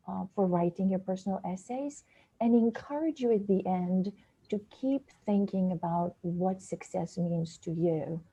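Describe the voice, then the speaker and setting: A woman's voice: medium pitch, dark tone, slightly rough, muffled recording. A woman from Bulgaria giving an earnest, practical talk to students over a video call. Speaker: guest speaker, essay coach. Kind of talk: webinar talk.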